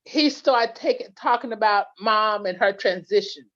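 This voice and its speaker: A woman's voice: medium pitch, moderate pace, a bit bright. A woman, a guest in an interview, telling earnest stories with many restarts. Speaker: guest, legal plan representative. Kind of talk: interview conversation. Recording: dull lo-fi sound.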